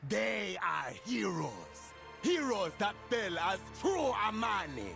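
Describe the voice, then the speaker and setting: An actor playing a fierce, proud troll warrior in a video game, declaiming grandly like a war leader. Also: male voice, sits high, big pitch swings, slow pace, vocal fry, pushed and bright, faint music behind, full character voice. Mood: proud, defiant. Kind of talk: dramatic video game acting.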